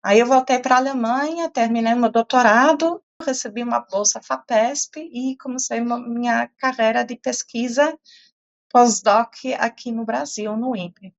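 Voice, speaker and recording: A woman's voice: on the high side, measured pace, creaky, highs cut off. A middle-aged German-born woman with a European Portuguese accent, a climate science professor answering interview questions thoughtfully, with many fillers and restarts.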